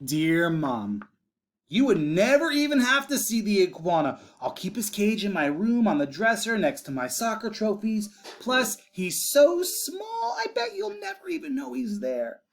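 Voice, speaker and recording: A man's voice: high-pitched, sing-song, unhurried, vocal fry, voice pushed up, heavily acted. A man voicing an eager young boy in a storybook, earnest and wheedling as he tries to persuade his mother. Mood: hopeful, coaxing. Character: eager pleading young boy writing letters to mom. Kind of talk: storybook read-aloud for children.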